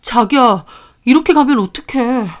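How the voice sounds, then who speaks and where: A woman's voice: fairly high pitch, unhurried, lightly acted. A woman voicing a weak-willed young man who pleads in a whiny way. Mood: pleading.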